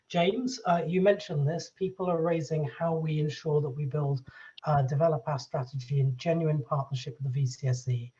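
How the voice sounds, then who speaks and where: Moderator chairing a panel off the cuff, mellow even voice, bit muffled. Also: medium pitch. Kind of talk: unscripted event moderation.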